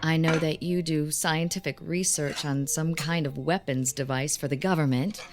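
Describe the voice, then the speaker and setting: An actress as a low-voiced, wry young bride-to-be. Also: female voice, steady tone, normal speed, over music, close to an everyday voice. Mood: playful. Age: 30s. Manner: playful, dry banter. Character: wry, teasing, confident thirty-one-year-old bride-to-be. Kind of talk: radio drama acting, naturalistic dialogue.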